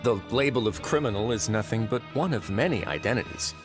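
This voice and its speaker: An actor playing a composed, courteous, mysterious duke and prison warden in a video game, speaking in a measured, formal way. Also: male voice, fairly low pitch, music in the background, lightly acted. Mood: wry, composed.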